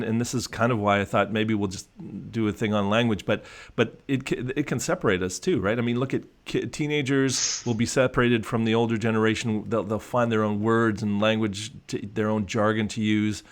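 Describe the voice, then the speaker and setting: Male coach, low voice, casual and reflective, restarts often. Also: steady tone, moderate pace, few pauses. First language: English. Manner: reflective, many restarts and fillers. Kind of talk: casual conversational discussion.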